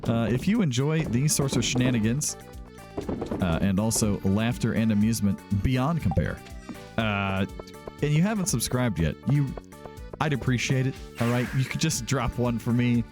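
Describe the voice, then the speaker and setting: A man's voice: medium pitch, animated, moderate pace. A man hosting a gaming let's play in a casual, rambling, self-deprecating way, stopping and restarting as he goes. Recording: music behind the voice. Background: background music.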